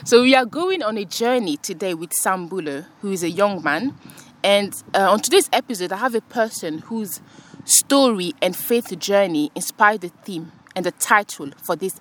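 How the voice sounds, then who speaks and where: A woman from France hosting a podcast, talking casually and warmly with a guest while sitting on grass outside, laughing often and using lots of fillers. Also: mid-range voice, expressive, moderate pace, slight background noise. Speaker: podcast host.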